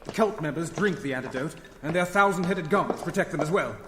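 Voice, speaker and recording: An actor playing an older expedition scientist, formal and apologetic, in an old-time radio adventure. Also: male voice, on the high side, quick pace, a bit bright, natural voice. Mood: explaining, contrite. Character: earnest, contrite older expedition scientist, father. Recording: echoey, noisy old-time radio recording.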